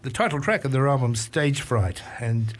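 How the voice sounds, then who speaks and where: A male radio host in the studio, talking in a relaxed and chatty way. His voice sits at a middle pitch, and he stumbles over his words now and then with plenty of "um"s and restarts. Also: normal speed. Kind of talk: casual radio chat.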